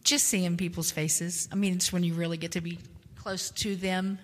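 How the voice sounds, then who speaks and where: A woman's voice: fairly low pitch, flat delivery, normal speed. Earnest woman sharing, reverberant room. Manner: earnest, reflective. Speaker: mission trip member. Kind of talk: sharing in a group discussion. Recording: long hall reverb.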